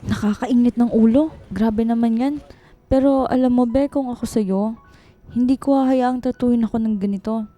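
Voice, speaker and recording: Woman acting a very mellow, protective office friend. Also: on the high side, steady tone, normal speed, soft background music, natural voice. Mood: indignant. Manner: consoling, indignant on friend's behalf. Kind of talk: radio drama acting.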